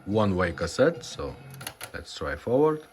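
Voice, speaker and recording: Male demo presenter, lively intonation but slow, low-key and halting delivery, casual tone. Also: soft background music. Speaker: presenter demonstrating a retrofitted car radio.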